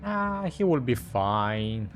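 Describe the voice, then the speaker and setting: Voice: medium pitch, big pitch swings, takes it slowly. A gamer giving casual, offhand commentary while playing a story game. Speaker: gaming streamer reacting. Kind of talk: casual commentary over gameplay. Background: faint music.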